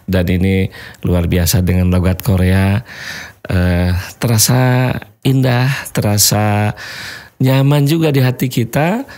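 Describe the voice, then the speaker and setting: A man hosts a relaxed podcast interview in a very deep voice. He speaks in a friendly way, often trails off into fillers while hunting for words, and laughs easily. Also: takes it slowly. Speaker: podcast host, doctor.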